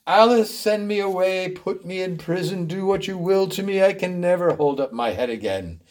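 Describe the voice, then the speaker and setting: A man performs a fallen, remorseful storybook gentleman. The voice is a little high for a man, and the delivery is theatrical, moody and anguished. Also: moderate pace, natural voice.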